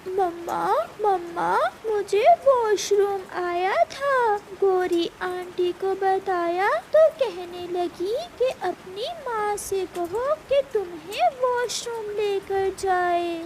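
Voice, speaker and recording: A very high-pitched, slow-talking little bird child from a cartoon fable, acted out by a performer. The young one sounds indignant and whiny, over faint noise. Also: exaggerated voice. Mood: whiny, complaining. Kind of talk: Hindi animated fable dialogue.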